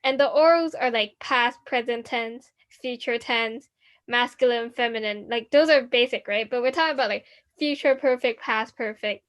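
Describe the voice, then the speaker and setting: A woman's voice: a little high, normal speed, a bit bright. A teenage girl from Hong Kong co-hosting a student podcast, chatting casually with many restarts. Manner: rambling, many restarts and fillers. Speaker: podcast co-host.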